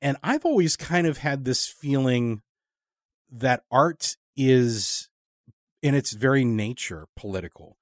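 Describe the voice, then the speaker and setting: A man hosting a casual long-form interview, talking in a thoughtful, halting, discursive way. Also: mid-range voice, lively intonation, no echo, studio quality. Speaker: podcast interviewer.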